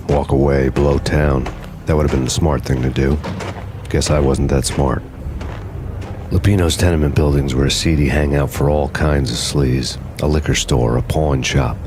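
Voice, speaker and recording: A world-weary New York cop from a noir game. His American voice is extremely low and nearly flat, brooding and deadpan as he narrates over music. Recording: music behind the voice.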